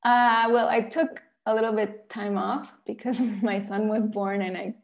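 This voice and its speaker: A Mexican woman speaks as an interviewed author and illustrator, with a Mexican accent from her native Spanish. Her mid-pitched voice has noticeable creak, and her answers are conversational. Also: fairly even intonation, measured pace.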